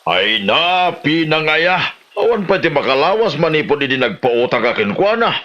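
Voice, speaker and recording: A man's voice: on the high side, very animated, moderate pace, sharp tone, lo-fi sound. An actor playing an exasperated Filipino family man, questioning and reproving, in a comedy drama. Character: exasperated male relative reproving a grumpy older woman. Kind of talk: Ilocano comedy drama acting.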